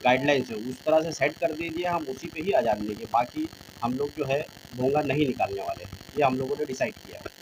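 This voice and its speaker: A man's voice: mid-range voice, animated, fairly quick, slight background noise, rough recording. A man with a Mumbai Hindi accent giving an interview statement, appealing insistently and repeating his points. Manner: insistent, repetitive. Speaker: local mosque representative being interviewed.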